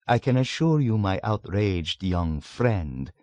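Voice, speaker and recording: A man's voice: fairly low pitch, sing-song, slow pace, a little mellow, dry recording, muffled recording. A man voicing a sly, mocking old man of 107. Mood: scoffing. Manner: suave, mocking, scoffing.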